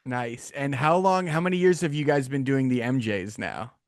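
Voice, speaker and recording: A man's voice: mid-range voice, moderate pace, dry recording. Casual male podcast host, dry close sound. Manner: informal, joking, slangy. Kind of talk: casual interview chat.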